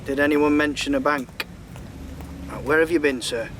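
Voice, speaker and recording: A man's voice: on the high side, fairly even intonation, normal speed, fairly bright tone, a little hiss or hum, natural voice. A man acting a sarcastic, mock-polite policeman in a comedy radio play. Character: dry, officious, sarcastic policeman.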